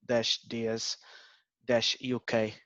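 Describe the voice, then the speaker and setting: A man's voice: medium pitch, monotone, very slow, pushed and bright, no room sound, highs cut off. A man with a Portuguese accent, an agile coach leading an interactive online workshop, hesitant with many ums and restarts. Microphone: video call.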